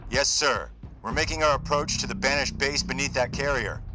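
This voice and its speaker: A man's voice: mid-range voice, normal speed, background noise, lightly acted. A man playing a tough, dutiful armored super-soldier making military reports in a video game. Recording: prominent cinematic music behind the voice.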